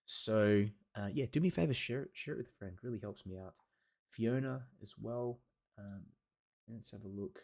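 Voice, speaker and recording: Man, low voice, watercolour teacher, casual livestream chat, frequent pauses, encouraging. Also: vocal fry, a little mellow. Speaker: watercolour teacher hosting a live workshop. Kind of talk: casual livestream chat reading and answering viewer comments.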